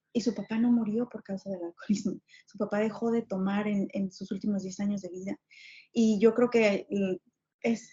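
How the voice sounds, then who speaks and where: A woman's voice: mid-range voice, warm tone. A Mexican woman in her forties, a podcast guest telling her story earnestly and with emphasis. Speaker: guest, journalist and businesswoman. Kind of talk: podcast interview conversation. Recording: dull lo-fi sound.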